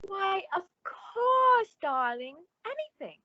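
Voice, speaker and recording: An actress playing a gushing, solicitous, sociable woman with effusive, drawn-out emphasis in an old-time radio suspense drama. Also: extremely high pitch, sing-song, no room sound, muffled recording, exaggerated voice. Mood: eager, affectionate.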